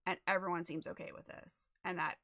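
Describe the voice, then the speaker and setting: A woman chatting casually as she sets up a livestream, a little raspy and losing her voice, rambling with many fillers into a desk microphone. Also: on the low side, level tone, a little fast, pushed and bright. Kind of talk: casual chat while setting up a stream.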